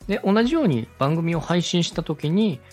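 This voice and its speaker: A man's voice: on the high side, animated, moderate pace, a little mellow, faint music behind. A man giving a polite, step-by-step explanatory talk as a podcast host and instructor. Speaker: podcast host and radio director teaching podcast making.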